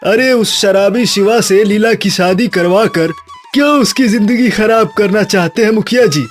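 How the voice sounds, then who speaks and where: A man's voice: high voice, moderate pace, soft background music, lightly acted. A man voicing a judgmental, gossiping villager, scornful and exclamatory, in a cartoon moral story. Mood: disapproving.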